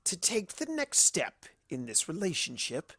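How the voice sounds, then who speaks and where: A narrator voicing a suave, mischievous male cartoon trickster in a story reading, with slow, deliberate, smug playfulness. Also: medium pitch, wildly swooping pitch, a bit bright, no room sound, pitched above the performer's own voice, exaggerated voice. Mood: teasing, deliberate.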